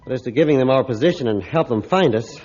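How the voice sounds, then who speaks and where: A man playing a grim, realistic ship's captain in a formal, deliberate, old-time radio drama style. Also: medium pitch, animated, darkish tone, lightly acted. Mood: grave, weary. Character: grim, realistic male captain of an icebound ship. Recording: dull, band-limited old radio recording.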